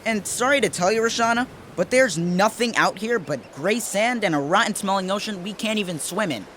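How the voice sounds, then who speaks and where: A woman's voice: mid-range voice, animated, fairly quick, fairly bright tone, close to an everyday voice. A woman voicing a reluctant, sarcastic, artistic schoolboy who grumbles wryly in a children's audio drama. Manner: wry, grumbling. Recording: noisy outdoor ambience behind.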